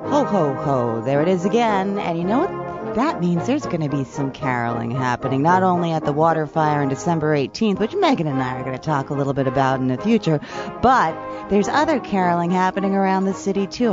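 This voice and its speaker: A woman hosting a radio show, chatting in a lively, jokey, exclamatory way with a guest. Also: low-pitched, a little fast, over music. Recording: slightly dull lo-fi radio recording.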